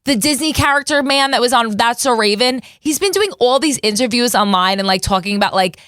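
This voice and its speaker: A woman's voice: a little high, lively intonation, brisk, fairly bright tone, no room sound, studio quality. A woman in her thirties hosting a podcast, chatting casually in rambling, filler-heavy talk with a lot of swearing. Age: 30s. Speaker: podcast host and stand-up comic. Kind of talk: casual chat.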